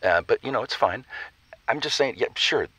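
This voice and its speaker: A man playing a stubborn, injured hiker calling a radio show, rambling and defensive in halting bursts. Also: medium pitch, animated, background noise, natural voice. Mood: strained, evasive. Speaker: caller. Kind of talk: improvised radio call-in drama.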